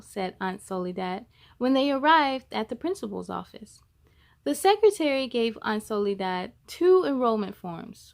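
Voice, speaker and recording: A woman reading a children's picture book aloud in an expressive, measured storytelling style. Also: a little high.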